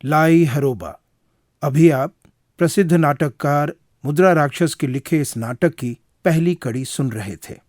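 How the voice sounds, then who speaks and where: A man reading scripted credits as a radio announcer, in a formal, measured way. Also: on the high side, a little mellow, dry recording, studio quality.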